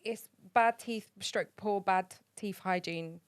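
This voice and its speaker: A young woman talks in a low, bassy voice. She is frank and opinionated, and she laughs along with her own points in a casual podcast chat. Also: slow pace, clean tone. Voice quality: low voice with bass.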